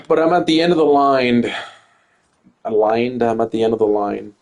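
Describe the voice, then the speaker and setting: A man's voice: mid-range voice, normal speed. A young man talking casually while playing a game on stream, rambling, stuttering and restarting his words, and swearing often. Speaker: gaming streamer. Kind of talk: casual gameplay commentary.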